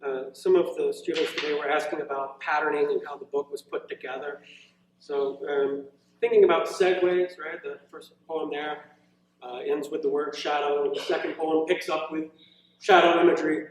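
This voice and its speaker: A male poet reads his work aloud to a live gathering, with measured verse and loose, conversational asides. His voice sits fairly high for a man. It comes through heavily echoing, picked up some way from the microphone. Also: steady tone, slight background noise. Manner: measured verse delivery, informal hesitant asides. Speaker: poet reading own work. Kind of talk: live poetry reading with casual asides. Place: indoor college reading event, echoing room. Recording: very echoey room, distant mic.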